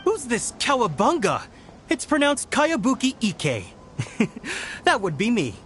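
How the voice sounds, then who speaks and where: A man's voice: extremely high pitch, huge pitch swings, slow, a bit bright, soft background music, exaggerated voice. A man playing a proud, melodramatic novelist in a video game, speaking in a pompous, theatrical manner. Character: proud, melodramatic young male novelist selling his books. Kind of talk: video game character acting.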